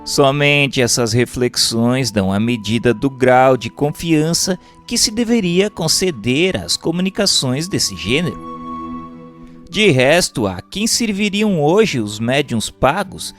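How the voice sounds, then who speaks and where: A Brazilian man narrates a formal written text in a mid-range voice. His reading is measured and scripted, like a chapter of a treatise read aloud. Music plays behind him. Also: mid-range voice, big pitch swings, a bit bright. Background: background music.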